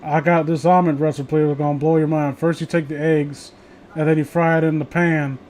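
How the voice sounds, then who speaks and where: A man with a high voice talks casually among friends. He rambles through his opinions and swears freely as he goes. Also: level tone, a little fast, darkish tone, a little hiss or hum. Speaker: host of a reading show.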